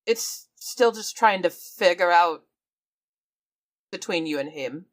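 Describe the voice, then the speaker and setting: A woman's voice: fairly low pitch, animated, slow. Woman player in a tabletop game. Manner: describes her character's actions and talks in character. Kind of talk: tabletop roleplay, in character and out.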